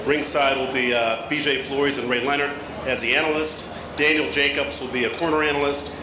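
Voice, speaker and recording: A man's voice: mid-range voice, steady tone, crowd noise. A middle-aged man, a TV sports producer, speaking at a podium with frequent hesitations. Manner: halting, with many uh fillers. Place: large arena press conference stage. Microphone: podium microphone. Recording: echoey hall with noise.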